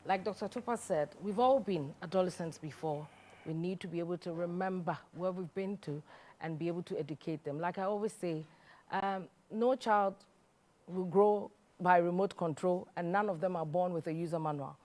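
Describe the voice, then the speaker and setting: Low, mellow woman host. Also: moderate pace. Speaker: TV talk show host. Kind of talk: studio talk show hosting.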